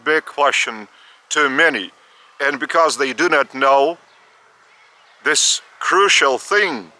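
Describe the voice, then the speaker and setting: An older man with a Lithuanian accent gives a spiritual teaching outdoors, speaking slowly with halting repeats. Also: medium pitch, pushed and bright. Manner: slow, halting, repeats words. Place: outdoors by a school stadium.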